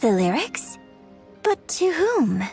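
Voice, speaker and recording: A gracious young opera performer in a video game. Her fairly high voice is polite, earnest and refined, moving expressively up and down over faint music. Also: very animated, takes it slowly, gravelly, a little mellow, exaggerated voice. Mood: hesitant, modest.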